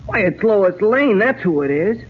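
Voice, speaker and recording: An actor playing an eager, wide-eyed boyish young sidekick, excitable, in a 1940s radio serial. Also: male voice, high voice, unhurried. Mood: amazed. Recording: tinny, scratchy 1940s radio recording.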